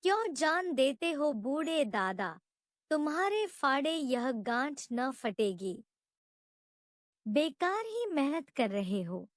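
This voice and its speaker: A blunt, indignant village man from a story , read by a woman, plain-spoken and a little mocking. The voice is high, very slow and dry. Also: big pitch swings, dry recording, exaggerated voice. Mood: pitying.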